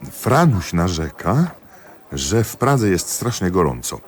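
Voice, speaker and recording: An actor in a radio drama playing a jealous husband and magistrate, confiding ruefully and emphatically to a friend. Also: male voice, low voice, a little mellow, heavily acted. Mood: reading tenderly. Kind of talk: theatrical radio drama monologue.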